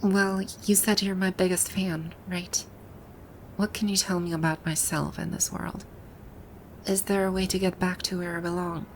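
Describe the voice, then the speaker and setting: A woman's voice: lowish voice, little pitch movement, normal speed, a little hiss or hum, close to an everyday voice, low-quality recording. A woman playing a haughty, easily flustered female final-boss villain from a video game in an ASMR roleplay, with an imperious, indignant manner. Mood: guarded, wistful. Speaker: voice actor.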